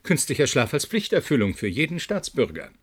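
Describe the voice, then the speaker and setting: An actor playing a wry, pragmatic scientist who explains things in a husky voice in a naturalistic radio drama. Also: male voice, medium pitch, very animated, fairly quick. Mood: sardonic.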